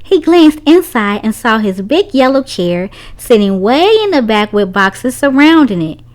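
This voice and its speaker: A woman's voice: on the high side, expressive, a little slow. A woman reading a children's story aloud, narrating expressively for young listeners.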